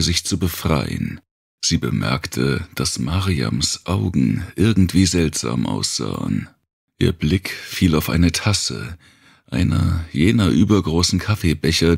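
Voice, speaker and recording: A man narrates a dark thriller audiobook with an extremely deep voice. It is gravelly and husky, and the delivery is deliberate and built for suspense. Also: no echo, studio quality.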